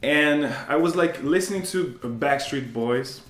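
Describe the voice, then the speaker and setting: A man's voice: on the high side, a little slow. Brazilian man chatting in a roomy space. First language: Portuguese. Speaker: English teacher, interview guest. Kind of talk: casual conversational interview.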